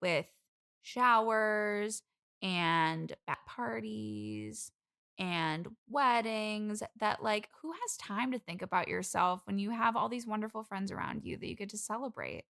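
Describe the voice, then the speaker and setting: A woman's voice: medium pitch, measured pace, creaky, a bit bright. A woman playing an overeager, clingy single bridesmaid, gushing and chatty in an improvised comedy interview. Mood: proud, gushing. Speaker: interview guest.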